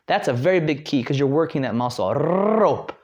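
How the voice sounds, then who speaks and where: Brief: young American man, Detroit, relaxed teaching chat, full of fillers and restarts, a bit high-pitched. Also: moderate pace. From Detroit, United States. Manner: chatty, many fillers and restarts. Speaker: guest language learner. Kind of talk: casual spoken lesson.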